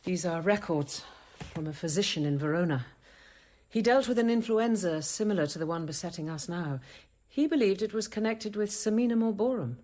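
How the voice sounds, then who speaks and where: A woman's voice: mid-range voice, animated, fairly quick, a little mellow, natural voice. A woman playing a guarded, learned convent apothecary nun in a radio drama, with precise, formal delivery. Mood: uneasy, careful. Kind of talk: radio drama acting and narration.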